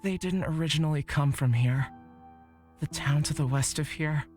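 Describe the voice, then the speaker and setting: A serious young man of the woods, played by a woman in a low pitch. He is guarded and speaks gravely in halting phrases, like a solemn visual novel character, with music behind him. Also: a little mellow, natural voice.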